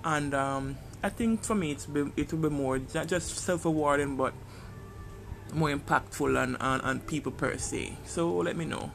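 A man's voice: a little high, normal speed, vocal fry, fairly bright tone, faint music behind. A man with a Jamaican accent speaking in a casual personal podcast monologue, hesitant and reflective, often searching for his words. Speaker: podcast host.

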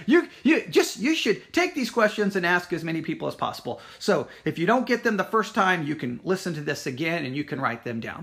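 Emphatic church teacher with a highly animated delivery. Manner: emphatic, challenging, rhetorical questions with repetition for emphasis. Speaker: Bible teacher at a Baptist church. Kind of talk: informal teaching talk to listeners.

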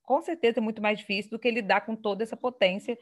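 A woman's voice: on the high side, steady tone, fast. A woman with a Brazilian accent interviewing someone in a casual, conversational way.

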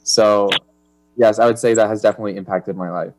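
A man's voice: on the low side, steady tone, fairly quick, darkish tone, low-quality recording. A young man, a university freshman, talking casually with some fillers. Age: young adult. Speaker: university student.

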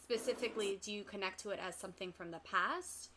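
A woman's voice: mid-range voice, quick pace. A young woman acting as interviewer, asking prepared questions in formal, appreciative wording, with some background noise. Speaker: interviewer, interviewing own father.

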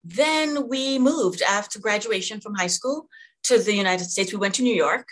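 A middle-aged woman born in Jamaica, a physician being interviewed, talking thoughtfully with many pauses and fillers. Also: mid-range voice, a bit bright. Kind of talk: conversational interview.

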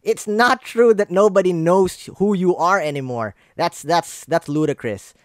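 A man's voice: high voice, measured pace, no room sound, studio quality. A man from the Philippines with a Filipino accent, speaking English as a second language, giving earnest motivational coaching and repeating phrases for emphasis. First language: not English. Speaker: branding coach, guest speaker. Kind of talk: motivational conversational talk. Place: online video call.

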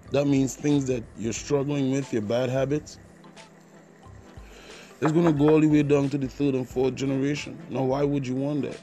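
A man's voice: medium pitch, warm tone. A man from Trinidad and Tobago with a Caribbean accent, hosting an informal solo podcast in an earnest, preachy way and repeating points for emphasis. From Trinidad and Tobago, partly Jamaica. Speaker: podcast host.

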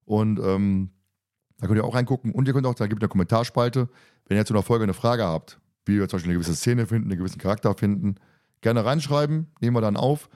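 A man with a fairly low voice talks very quickly as he moderates a casual podcast. He is chatty and teasing, and the sound is dry and close. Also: darkish tone, dry recording. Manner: joking, teasing, talkative. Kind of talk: casual podcast chat and announcements.

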